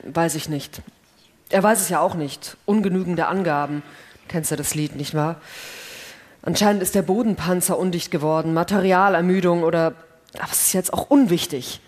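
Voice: mid-range voice, normal speed, natural voice. An actor playing a resigned, rule-abiding astronaut in a science fiction radio play, terse and matter-of-fact. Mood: resigned, uneasy.

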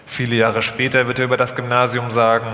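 Male narrator for a live scripted documentary, mid-pitched, in a very reverberant hall. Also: monotone, fast talker, pushed and bright. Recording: echoey hall, long reverb.

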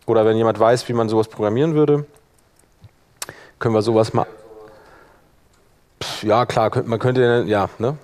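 A man speaking colloquial speech, giving an informal live software demonstration and thinking aloud as he works. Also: fairly low pitch, fairly even intonation, normal speed, pauses often. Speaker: workshop presenter.

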